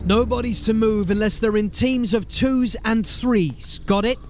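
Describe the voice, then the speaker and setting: A man acting a gruff, authoritative sergeant of a city watch in a fantasy audio drama, with clipped speech. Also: sits high, a little slow, darkish tone, faint music behind. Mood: commanding.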